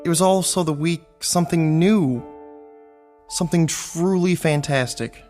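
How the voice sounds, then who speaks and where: An actor playing a solemn storyteller, narrating a radio drama portentously with deliberate pauses. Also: male voice, a little high, a little mellow, close to an everyday voice. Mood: solemn, mysterious. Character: solemn, portentous male storyteller of strange tales. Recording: studio radio play with music underneath.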